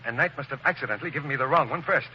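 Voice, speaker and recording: A man's voice: mid-range voice, very fast, pushed and bright. An actor playing a fussy, easily flustered well-to-do father in a 1940s radio drama, with a proper, exclamatory manner. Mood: brisk, explaining. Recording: muffled, faintly noisy old radio recording.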